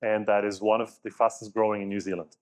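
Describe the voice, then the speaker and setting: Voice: low-pitched, flat delivery, fast talker. A podcast host introducing the show and asking a guest friendly questions. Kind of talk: interview hosting.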